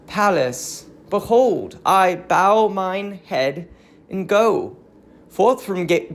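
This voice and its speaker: A reader playing a lordly ancient god of the sea, grieving and grave. The lines come very slowly in stately declamation, with a creaky edge, as in a tragic verse drama on audiobook. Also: mid-range voice.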